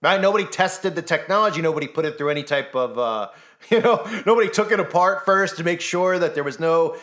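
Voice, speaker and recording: A man's voice: on the high side, brisk. Man giving heated solo commentary. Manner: animated, rhetorical questions, skeptical. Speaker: commentary channel host. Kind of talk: solo news commentary monologue.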